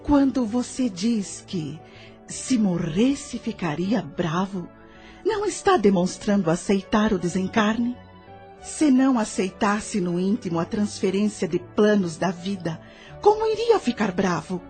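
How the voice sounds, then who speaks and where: A woman with a Brazilian accent playing a calm, kindly spirit guide in an audio drama, speaking patiently and instructively. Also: mid-range voice, measured pace, soft background music. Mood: patient, probing. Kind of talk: scripted audio drama dialogue.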